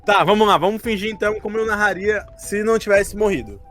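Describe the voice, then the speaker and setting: A man's voice: sits high, fast, fairly bright tone. A man who is a game master, chatting casually and a bit defensively about a role-playing campaign. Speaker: game master recapping a tabletop RPG campaign. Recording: music behind the talk. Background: music.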